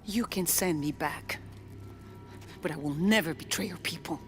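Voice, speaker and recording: A woman's voice: sits low, moderate pace, faint music behind, lightly acted. An actress playing a defiant imprisoned queen who speaks firmly and resolutely in a video game cutscene. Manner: firm, resolute.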